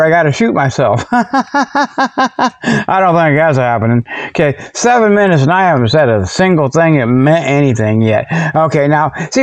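A man's voice: fairly high pitch, no room sound. A man hosting a comedic show, rambling casually in a folksy, jokey, self-amused way. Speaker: host of a comedic paranormal report show. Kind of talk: rambling casual humorous monologue. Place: outdoors behind his garage.

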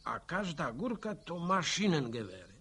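An actor playing a middle-aged village war veteran in a radio drama, telling things plainly. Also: male voice, a little high, very animated, normal speed, a bit bright, husky, exaggerated voice. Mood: reminiscing. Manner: folksy, matter-of-fact storytelling.

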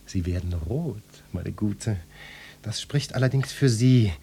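A husky, pompous police detective. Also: male voice, fairly low pitch, mellow. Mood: unctuous, insinuating. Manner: florid, officious, insinuating questioning. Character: pompous, nosy, lecherous police detective interrogating a housewife. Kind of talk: German satirical radio play acting.

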